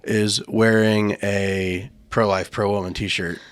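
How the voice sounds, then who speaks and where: A man's voice: lowish voice, flat delivery, slow, no room sound. A man hosting a podcast, talking earnestly in casual chat, thinking aloud and restarting his sentences as he goes. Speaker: podcast host.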